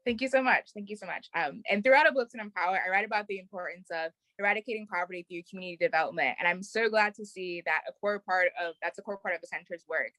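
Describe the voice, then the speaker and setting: Fast-talking woman hosting an interview. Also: fairly low pitch, pushed and bright, dry recording, low-quality recording. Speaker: interviewer and series host. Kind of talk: prepared interview introduction and questions.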